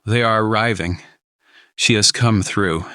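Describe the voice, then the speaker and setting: A man playing a gentle, reassuring, spiritual husband in an audio drama, with measured and formal phrasing. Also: on the low side, no room sound, clean studio sound. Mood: gentle reassurance. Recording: voice over prominent music bed.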